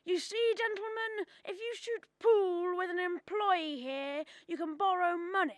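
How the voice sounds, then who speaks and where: Young woman as old male banker, radio play, cold and sneering, unhurried, dry close sound. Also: very high voice, no room sound. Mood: haughty, sneering. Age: young adult. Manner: haughty, sneering. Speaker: student actor. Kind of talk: radio drama acting.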